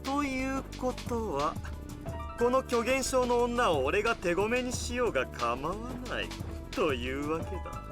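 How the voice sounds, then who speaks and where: A man's voice: very animated, a little fast, over music. A man playing a mocking, menacing villain in a Japanese visual novel, with a derisive edge to his voice. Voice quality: high-pitched with derisive edge. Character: mocking, menacing high-voiced male villain, smug and cruel.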